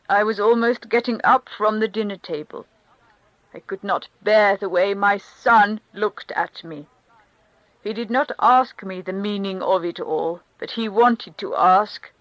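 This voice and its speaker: A woman's voice: mid-range voice, a bit bright, dry recording, highs cut off. A woman reading a crushed, humiliated middle-aged man in a thin, strained, pleading voice. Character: grieving humiliated middle-aged male official. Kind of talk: audiobook character reading.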